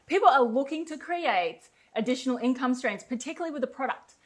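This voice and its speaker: A woman's voice: high-pitched, animated, quick pace. A woman from Australia with an Australian accent, co-hosting a promotional webinar in an upbeat, chatty way. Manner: upbeat, chatty, many fillers. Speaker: webinar co-host.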